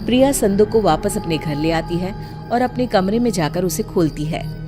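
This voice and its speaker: A woman's voice: mid-range voice, expressive, moderate pace. A woman narrator reading a scripted story. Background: music.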